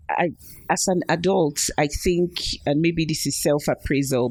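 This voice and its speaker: A woman's voice: low-pitched, a little mellow, no echo. A reflective woman guest. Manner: reflective, laughs often, trailing restarts. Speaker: podcast guest. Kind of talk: casual interview talk.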